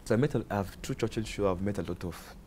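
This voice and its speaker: A young man with a Nigerian accent, a comedian chatting as a guest in an interview, animated and often repeating words as he searches for them. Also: on the low side, quick pace, slight background noise. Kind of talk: casual interview chat.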